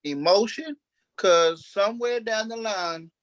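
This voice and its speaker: A man's voice: sits high, a little slow, a bit bright, no echo. A man talking in a casual panel discussion as a cast member, earnest and emphatic, repeating phrases for stress. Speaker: stage play cast member.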